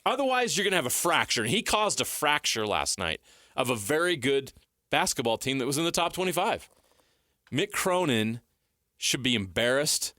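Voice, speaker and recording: Animated, bright-voiced man talking sports. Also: medium pitch, normal speed, no room sound, studio quality. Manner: emphatic, rhetorical questions, repeats phrases for stress. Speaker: sports talk show host. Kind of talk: heated sports commentary and debate.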